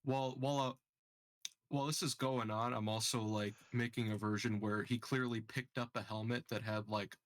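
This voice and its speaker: A man chatting casually among fellow gamers in a halting way. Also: on the low side, steady tone, no echo. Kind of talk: casual chat.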